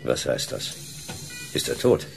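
A man's voice: a little slow, soft background music, lightly acted. An actor playing a hard-boiled, stern male detective in a radio drama, speaking in terse, dry, clipped phrases. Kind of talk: radio drama narration and dialogue.

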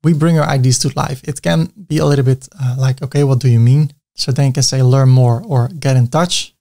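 A man in his thirties with a clear Dutch accent talks through building a website step by step. His voice sits at a middle pitch, and he keeps it chatty with small jokes at his own expense. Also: fairly even intonation, brisk, mellow. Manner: chatty, self-mocking asides. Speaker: web design tutor. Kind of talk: screen-recorded software tutorial.

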